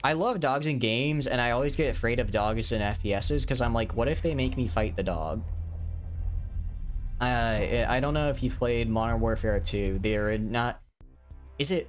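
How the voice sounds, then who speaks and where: A young man in his twenties talks his way through a game stream in a casual, rambling way, often restarting his sentences. His voice sits at an ordinary pitch for a man. Soft music runs faintly underneath. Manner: rambling, chatty, frequent restarts and fillers. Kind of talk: casual live-stream chat while gaming.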